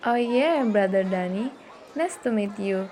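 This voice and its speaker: A woman's voice: medium pitch, lively intonation, unhurried, mellow, lightly acted. A young woman with an Indonesian accent playing a polite, humble schoolgirl in an amateur radio drama. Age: young adult. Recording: noisy background with crowd ambience.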